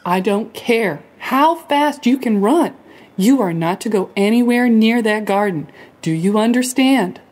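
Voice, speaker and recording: Puppet show mother rabbit, female actor: highish, lively, stern, fussy, caring. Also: measured pace, slight background noise. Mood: scolding. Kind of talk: children's puppet show acting.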